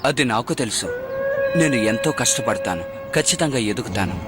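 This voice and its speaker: A man's voice: medium pitch, lively intonation, a little fast, lightly acted. A man playing an earnest, determined teenage boy who races dragons in a dubbed cartoon. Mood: defensive. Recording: loud music under the voice.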